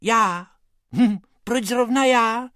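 A man voicing a small timid male rabbit with a hesitant, squeaky voice. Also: sharp tone, no echo, studio quality. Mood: reluctant.

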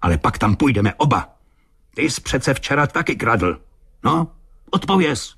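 Man acting furious, sneering ship captain with a husky voice. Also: medium pitch, very animated, voice pushed up, exaggerated voice. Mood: indignant. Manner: shouting, sneering. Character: furious, bullying, mocking male ship captain. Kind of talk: storybook reading with character voices.

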